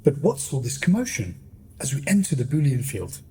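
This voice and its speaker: A man's voice: medium pitch, sing-song, normal speed, mellow, a little hiss or hum. A man narrating a radio play in a theatrical, scripted style.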